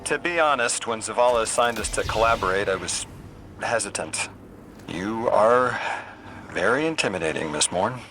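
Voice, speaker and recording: A man's voice: lowish voice, animated, normal speed. An actor playing a polite, curious younger male scholar in a video game, earnest and a little hesitant. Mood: nervous, candid. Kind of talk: video game cutscene acting. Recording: loud music underneath. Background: loud cinematic music.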